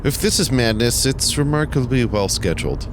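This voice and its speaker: A man playing Pete, a stiff, data-obsessed young man who talks in precise, formal, deadpan terms. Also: medium pitch, moderate pace, noisy background, lightly acted.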